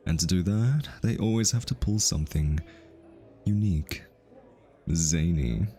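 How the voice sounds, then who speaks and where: A man's voice: deep voice, unhurried, warm tone. A man voice-acting an ASMR roleplay as a pretentious, easily flustered hitman posing undercover as a society consultant, with an arch, teasing and theatrically polite manner. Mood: haughty, scoffing. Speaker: voice actor.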